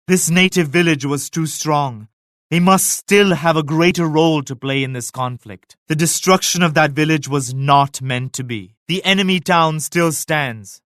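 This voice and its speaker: An actor voicing a devout, dignified Mughal emperor in a video game, speaking in a solemn, formal, measured manner. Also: male voice, a little high, a bit bright, no echo, clean studio sound. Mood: grave, resolute. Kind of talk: scripted video game character lines.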